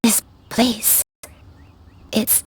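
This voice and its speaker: An actress playing a frail elderly grandmother in a video game, tender and wistful, with a breathy, creaky, halting delivery. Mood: weak, searching. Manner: halting, hushed reminiscing. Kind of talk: dramatic video game voice acting.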